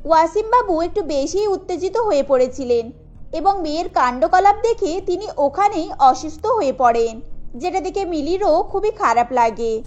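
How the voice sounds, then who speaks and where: A woman's voice: very high-pitched, expressive, normal speed, a little mellow, lo-fi sound. A woman narrating a scripted cartoon story.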